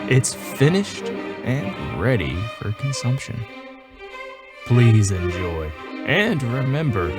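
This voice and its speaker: A man reading scripted audiobook narration of his own story in a measured, deliberate way, as author and narrator. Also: fairly low pitch. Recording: music behind the voice. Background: music.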